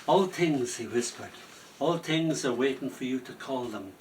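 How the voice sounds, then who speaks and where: A man performing spoken word poetry, telling stories between poems in a slow, lyrical delivery that drops to soft whispers. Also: mid-range voice, lively intonation, slight background noise. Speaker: poet performing his poems. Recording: voice from a distance off the mic.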